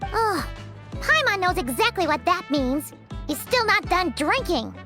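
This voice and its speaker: Game fairy companion: childlike and squeaky, bubbly and cheeky, animated delivery at an unhurried pace. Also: female voice, exaggerated voice. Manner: exclamatory, refers to self by name. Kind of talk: animated video game voice acting. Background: music.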